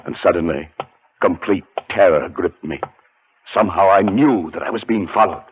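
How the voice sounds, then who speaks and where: An actor playing a frightened scholar in a 1940s radio suspense drama, narrating his terror in a dramatic, deliberate way that grows halting with nerves. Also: sits low, husky, no echo. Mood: mounting terror. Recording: muffled 1940s radio broadcast with music.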